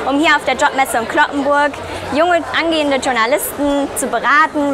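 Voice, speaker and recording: Young woman reporter, high voice, chatty, loud crowd and music behind. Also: noisy background. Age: young adult. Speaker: trainee journalist, reporter. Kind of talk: chatty scripted video report.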